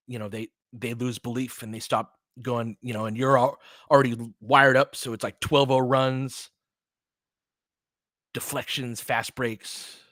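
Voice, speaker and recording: A man giving casual, analytical basketball commentary off the cuff, thinking aloud in a halting, conversational way. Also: medium pitch, fairly bright tone, dry recording, studio quality. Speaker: audio play-by-play host and basketball analyst. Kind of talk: casual off-the-cuff sports commentary, answering listeners.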